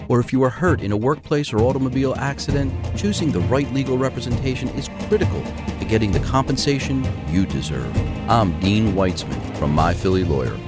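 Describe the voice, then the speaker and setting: A male lawyer delivering his own radio ad in a persuasive, punchy way. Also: mid-range voice. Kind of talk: read radio commercial.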